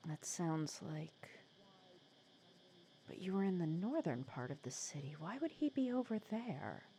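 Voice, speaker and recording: A woman playing a sly, secretly caring female supervillain in an intimate ASMR roleplay, casual and teasing, softly breathy. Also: lowish voice, animated, a little slow, a little hiss or hum. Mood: puzzled, guarded. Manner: casual, teasing, persuasive, sardonic.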